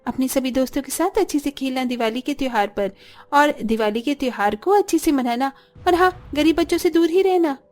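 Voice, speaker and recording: High-pitched smug rich sister-in-law played by a woman. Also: fairly quick, a little mellow, natural voice. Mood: doting, boastful. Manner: boastful, condescending. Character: rich, smug, mocking young sisters-in-law and doting mothers.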